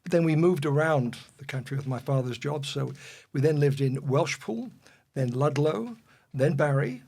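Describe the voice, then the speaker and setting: A man's voice: on the high side, animated, normal speed, gravelly, darkish tone. Older man from Wales, interview guest, meandering delivery with lots of ums. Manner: rambling with frequent fillers and restarts. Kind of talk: relaxed reminiscing.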